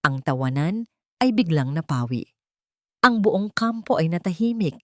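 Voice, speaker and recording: Low-pitched woman, dramatic story narrator. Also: expressive, a little slow, warm tone, no room sound, clean studio sound. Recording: music bed under the voice. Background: music.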